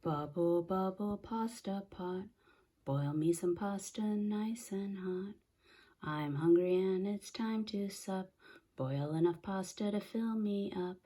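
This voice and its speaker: Storybook old witch, female, read by a woman narrator: commanding, instructive, husky edge, incantatory. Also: mid-range voice, slow, dark tone, pitched above the performer's own voice. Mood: singsong incantation. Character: old village witch, singing spells, bossy and firm.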